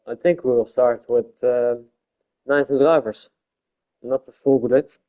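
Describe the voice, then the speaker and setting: A Dutch-speaking man commentating a live-streamed online race league in English, halting and searching for words with many fillers. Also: medium pitch, highs cut off. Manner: calls himself a bit boring-voiced.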